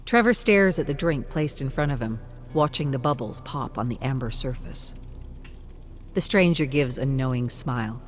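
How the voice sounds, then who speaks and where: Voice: medium pitch, lively intonation, normal speed. A narrator delivering a dramatic scripted fantasy story in a solemn, suspenseful manner.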